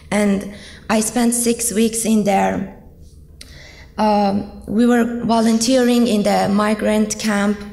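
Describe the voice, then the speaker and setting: Slow, gravelly-voiced young woman from Iran. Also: medium pitch, a little mellow. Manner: earnest, hesitant, many ums. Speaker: student speaker. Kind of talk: personal testimony speech. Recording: echoey hall, long reverb.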